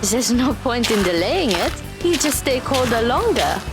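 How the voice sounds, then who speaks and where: Woman playing a high, lively, blunt teen girl. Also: measured pace, over loud music. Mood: brisk, challenging. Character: brisk, sporty, blunt teenage girl. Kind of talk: audio drama acting.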